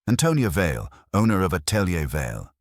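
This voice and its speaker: A man's voice: low voice, big pitch swings, fairly quick, no room sound, clean studio sound. A man voicing an earnest culinary chemist in his late thirties, formal and stiff, in an audio mystery. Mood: formal, anxious. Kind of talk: detective audio drama.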